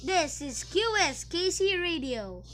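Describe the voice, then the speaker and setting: Very high-pitched Filipino woman radio announcer. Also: big pitch swings, slow, a bit bright. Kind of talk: scripted station IDs and sponsor spots. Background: music.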